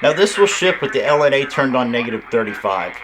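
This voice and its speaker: A man's voice: medium pitch, very animated, fast talker, poor audio. A man giving a casual, conversational hands-on product demonstration, explaining things step by step. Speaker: radio reviewer and host.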